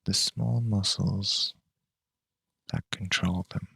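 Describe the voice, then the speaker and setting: A man leading a guided meditation in a calm, measured, unhurried way with long pauses between phrases. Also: low-pitched, creaky, husky, no room sound.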